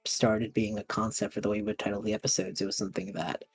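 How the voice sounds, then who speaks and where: A man's voice: lowish voice, level tone, fast, husky. A man hosting a casual interview, talking in an enthusiastic and thoughtful manner. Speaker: host and audio fiction creator.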